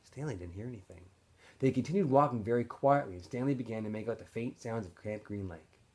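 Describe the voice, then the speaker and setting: A man narrating a novel aloud in an expressive storytelling style, as if for students. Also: medium pitch, fast talker. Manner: animated storyteller. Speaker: teacher or school staff reading aloud to students.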